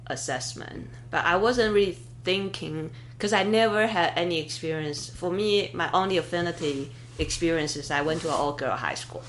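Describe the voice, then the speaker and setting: A woman's voice: low-pitched, a bit bright, slight background noise. A woman in her thirties hosting a podcast interview, speaking thoughtfully and hesitantly, with fillers and restarts as she searches for words. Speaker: podcast host. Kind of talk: conversational interview.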